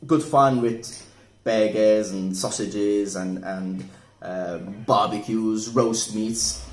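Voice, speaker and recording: A man in his forties, casually reviewing a wine at a table indoors, relaxed and thoughtful, often repeating words as he restarts. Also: lowish voice. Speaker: wine shop manager reviewing wine. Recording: echoey room with faint noise.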